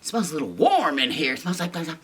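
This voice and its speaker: A woman voicing a hungry, blustering big bad wolf in a children's story, with gruff, booming threats. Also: sing-song, a little fast, heavily acted. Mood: scheming.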